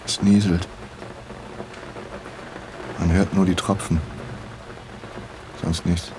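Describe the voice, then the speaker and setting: An actor playing a brooding, depressed man in an audio drama, speaking in a halting, introspective way. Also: sits low, flat delivery, noisy background. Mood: resigned, brooding. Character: brooding, depressed man stranded in the wilderness, prone to agitation. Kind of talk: German audio drama acting.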